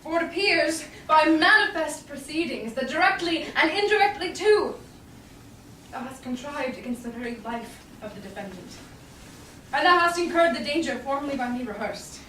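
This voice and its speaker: Woman as stern stage judge, formal declamation, bright voice, roomy noisy recording. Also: high voice, animated, normal speed, distant mic. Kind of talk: Shakespearean staged reading. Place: small indoor theatre stage. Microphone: distant audience camera.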